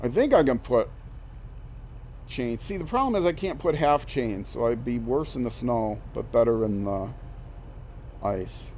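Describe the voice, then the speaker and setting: A man's voice: medium pitch, animated, a little slow, pauses often, darkish tone, a little hiss or hum. An older man from Minnesota with a Minnesota accent, rambling and self-deprecating as he streams a driving game. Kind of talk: casual gameplay commentary.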